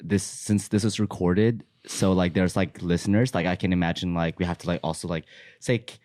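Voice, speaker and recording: Man, podcast guest, excitable, full of fillers, low voice, dry sound. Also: fairly quick, no echo. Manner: excitable, laughing, lots of "like". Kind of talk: casual chat.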